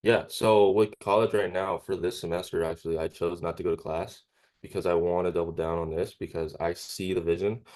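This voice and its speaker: A young man around twenty answers interview questions in a deep voice. He speaks casually and a bit haltingly, often tacking short questions onto his sentences. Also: steady tone, a little mellow. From California, United States. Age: about 20. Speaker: interviewee, young cleaning business owner. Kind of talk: casual chat.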